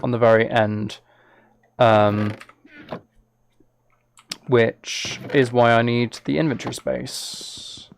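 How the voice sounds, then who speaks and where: A man's voice: mid-range voice, fairly even intonation, takes it slowly, creaky, pauses often, a little hiss or hum. A man chatting casually on a live game stream, thinking aloud as he plays and talking to his viewers.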